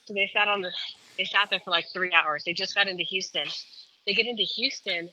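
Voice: mid-range voice, brisk, sharp tone, slight background noise, rough recording. A caller giving a family update in casual, chatty, matter-of-fact storytelling. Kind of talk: casual phone chat.

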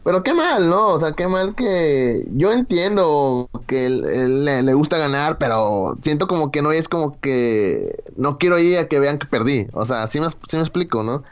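A man's voice: on the high side, lively intonation, moderate pace, hardly any pauses. Hesitant man, dull recording. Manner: hesitant, full of fillers. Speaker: co-host. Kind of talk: casual sports chat.

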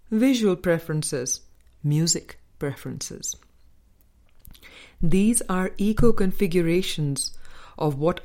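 A woman narrates a spiritual audiobook from a script. Her voice sits slightly low, and she reads very slowly and deliberately, leaving pauses between phrases. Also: a little mellow. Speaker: audiobook reader. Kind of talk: scripted reading of a spiritual book.

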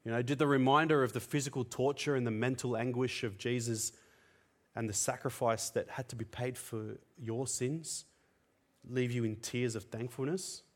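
A man's voice: mid-range voice, steady tone, normal speed. A man whose first language is Tamil, preaching a sermon in an earnest, conversational way with frequent fillers.

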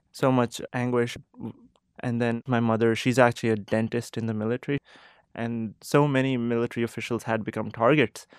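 A man's voice: medium pitch, vocal fry, no echo. Young pakistani-accented guy, earnest and careful, dry close sound. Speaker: interview guest, medical resident. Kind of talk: radio interview answers.

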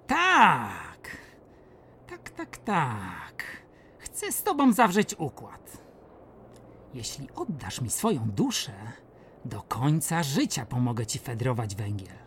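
A woman's voice: a bass voice, huge pitch swings, takes it slowly, a bit bright, a little hiss or hum, exaggerated voice. A woman voicing a sly, boastful male devil in a radio play, wheedling and theatrical. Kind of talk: radio drama acting.

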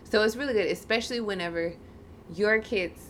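A woman's voice: mid-range voice, a little fast, fairly bright tone, a little hiss or hum. A woman, hosting a casual conversational podcast interview. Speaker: podcast host, licensed counselor.

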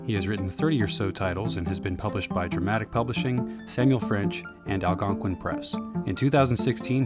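A man reading a scripted introduction as an announcer, in a formal narrating style. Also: fairly low pitch. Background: plucked guitar music.

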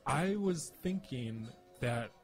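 Music plays clearly behind a man in reflective conversation. His voice is medium in pitch. He searches for his words and repeats phrases for emphasis. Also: expressive, slow.

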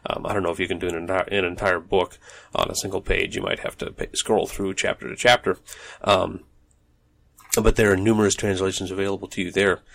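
Low gravelly man rambling with fillers. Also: flat delivery, fairly quick, fairly bright tone. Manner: rambling, many fillers and restarts. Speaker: podcast host. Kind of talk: casual solo podcast monologue. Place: home office.

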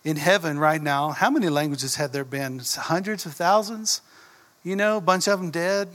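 A man from Texas, preaching a sermon in a folksy, animated way and dropping to a whisper for emphasis. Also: fairly high pitch, moderate pace, in a large hall. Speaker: pastor. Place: church.

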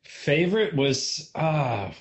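A man's voice: fairly high pitch, sing-song, slow. A man of about twenty chatting casually as an interview guest, in a giggly, self-deprecating and slightly nervous way. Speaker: interview guest, amateur fan fiction reader. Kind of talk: casual chat.

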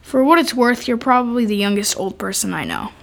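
A female performer playing a frank, curious twelve-year-old kid in a naturalistic comedy radio play, gently teasing and deadpan. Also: a little high, brisk, gravelly.

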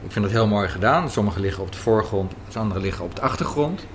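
A man's voice: on the low side, lively intonation, fast talker. A man from the Netherlands interviewing conversationally, inquisitive and thinking aloud in short phrases. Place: café, indoors. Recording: clear café background noise. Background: café noise.

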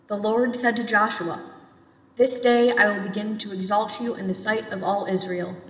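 A young woman reads scripture aloud as a lector. Her voice sits at a middle pitch. It rings with long hall reverb, and the recording is slightly dull. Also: fairly bright tone. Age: young adult.

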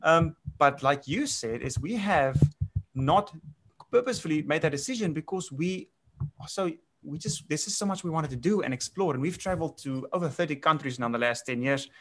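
Chatty South African winemaker, rambling. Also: male voice, a little high, lively intonation, fairly quick, fairly bright tone, slight background noise. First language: Afrikaans. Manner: chatty, rambling, with many restarts and fillers. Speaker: winemaker guest. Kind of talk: casual interview chat.

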